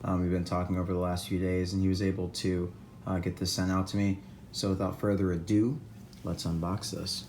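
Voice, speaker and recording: A hoarse man from Atlanta, talking casually to camera as an esports news vlogger, eager and fond of repeating words for emphasis. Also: deep voice, little pitch movement, a little fast, noisy background. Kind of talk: casual vlog talk to camera.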